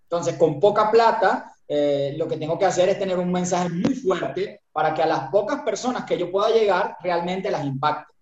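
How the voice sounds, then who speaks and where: A Venezuelan man with a Venezuelan Spanish accent, a business founder being interviewed, explaining his ideas in an animated way with many fillers. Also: high voice, normal speed, hardly any pauses, a little hiss or hum. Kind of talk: interview answers. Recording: roomy, dull audio with music.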